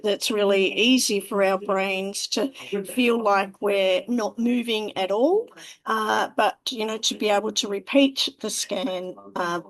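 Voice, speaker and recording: A woman with a mid-pitched voice speaks very slowly on an online call. Her delivery is casual and thoughtful, with some hesitation between phrases. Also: low-quality recording. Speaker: medical professional. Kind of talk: casual sharing in an online meeting. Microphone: video call.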